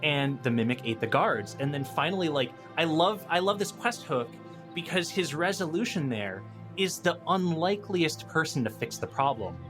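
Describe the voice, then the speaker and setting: A man in a casual podcast discussion, stopping and restarting as he thinks out loud, with animated asides. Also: on the high side, normal speed, over music. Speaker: podcast guest.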